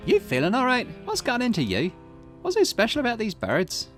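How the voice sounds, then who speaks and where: A man's voice: very high voice, wildly swooping pitch, brisk, a bit bright, soft background music, pitched above the performer's own voice, full character voice. A man voicing a brash, loyal young woman from a video game, with a blunt, teasing manner. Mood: exasperated.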